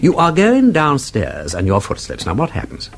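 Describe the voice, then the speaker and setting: An actor playing a witty, inquisitive gentleman sleuth in a radio drama, with an upper-class English accent and an urbane, argumentative, theatrical manner. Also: medium pitch, huge pitch swings, moderate pace, a little hiss or hum. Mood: playful probing. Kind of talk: British radio drama acting.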